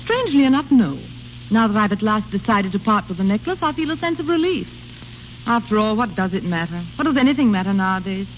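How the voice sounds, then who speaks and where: A woman's voice: medium pitch, lively intonation, fast talker, close to an everyday voice. Woman as an imperious, swooping grand duchess. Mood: weary. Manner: haughty, dry, commanding. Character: imperious, world-weary, sardonic grand duchess. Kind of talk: 1930s radio drama acting. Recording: old radio broadcast, muffled with faint hiss.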